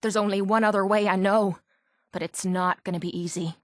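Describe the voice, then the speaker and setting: A woman's voice: medium pitch, fairly even intonation, a little fast, no room sound, studio quality, natural voice. A woman playing a brash, foul-mouthed young Irish brawler in a video game, blunt and sardonic but vulnerable underneath, with an Irish accent. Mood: scared, confessing. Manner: blunt, gruff, sardonic, informal. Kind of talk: video game companion voice lines.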